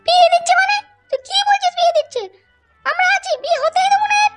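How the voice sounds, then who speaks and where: Squeaky anxious cartoon mom, talks super fast, fusses over her boy. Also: fairly bright tone, faint music behind. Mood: worried. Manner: anxious, doting. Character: fussing worried mother, high-pitched. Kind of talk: cartoon voice acting.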